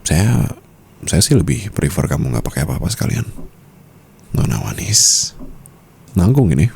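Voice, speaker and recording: Mafia boss husband, male actor: deep, creaky voice, flirtatious and possessive, intimate ASMR roleplay delivery. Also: sing-song, darkish tone, slight background noise, exaggerated voice. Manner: slow, teasing murmur, mixing in English phrases.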